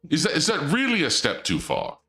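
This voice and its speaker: A young man streaming and chatting casually, rambling and joking in a theatrical dark-lord persona. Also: fairly high pitch, wildly swooping pitch, brisk, soft background music.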